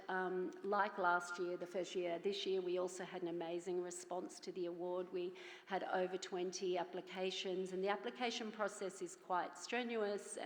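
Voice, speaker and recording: A woman presenting an award at a conference in an informal, earnest, slightly hesitant way, recorded in a hall. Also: on the low side. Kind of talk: informal spoken introduction. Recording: echoey hall with long reverb.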